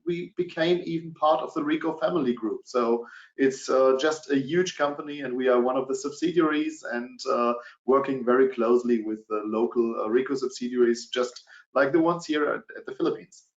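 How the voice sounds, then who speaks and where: A man's voice: medium pitch, moderate pace. German-accented man hosting a webinar. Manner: hesitant, many fillers. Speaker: webinar presenter. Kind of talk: presenting a business webinar. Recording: dull, roomy webinar audio.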